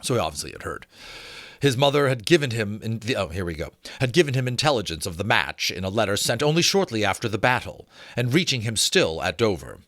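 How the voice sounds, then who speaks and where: A man reading a novel aloud as an expressive, dramatic narrator. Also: fairly low pitch, fairly quick, no echo, clean studio sound. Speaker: audiobook reader.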